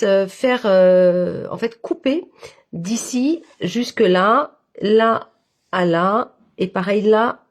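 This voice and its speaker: Woman, head cold, nasal, breathy, craft tutorial, halting delivery. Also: on the high side. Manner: halting, many fillers, step-by-step. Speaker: papercraft instructor. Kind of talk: live-stream craft tutorial.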